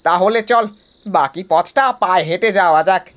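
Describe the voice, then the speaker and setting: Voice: mid-range voice, moderate pace, a bit bright, slight background noise, close to an everyday voice. An actor playing a practical young man in a horror cartoon, teasing and reproachful with his friends. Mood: matter-of-fact. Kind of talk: animated horror cartoon dialogue.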